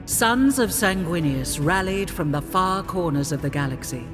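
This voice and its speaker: An actress playing a solemn narrator of a grim space war in a video game cutscene, speaking gravely and with measured weight. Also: female voice, on the low side, animated, lightly acted. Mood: grave, portentous. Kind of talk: dramatic scripted cutscene narration. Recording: long cavernous reverb, music underneath. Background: dramatic music.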